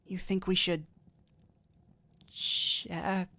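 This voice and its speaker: A man acting a gentle, weary, protective village doctor in an intimate fantasy roleplay, soft-spoken and hesitant, often breathy. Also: sits high, flat delivery, drawn-out words, full character voice. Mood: gentle, flustered. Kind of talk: intimate roleplay audio drama.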